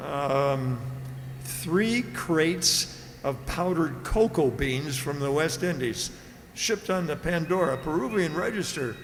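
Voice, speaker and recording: A man's voice: on the high side, lively intonation, takes it slowly. A man playing a busy, hesitant customs clerk in an old-time radio drama, with a distracted, muttering delivery. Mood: distracted, helpful. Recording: reverberant hall, stage-like sound.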